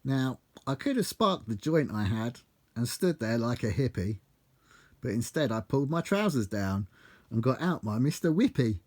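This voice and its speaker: British male narrator, animated intonation, bawdy comic rhyming verse, measured storytelling cadence. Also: mid-range voice. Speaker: author reading own book.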